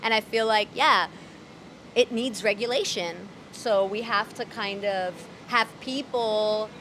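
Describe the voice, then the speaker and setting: A woman voice actor and comedian, guest in a casual street interview, talking candidly with dark humor and frequent laughter. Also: medium pitch, slow, a little hiss or hum. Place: city street outdoors.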